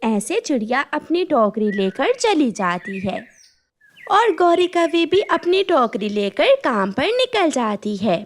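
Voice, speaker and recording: A woman's voice: high voice, big pitch swings, takes it slowly, a little hiss or hum. A woman narrating a scripted cartoon story as the storyteller.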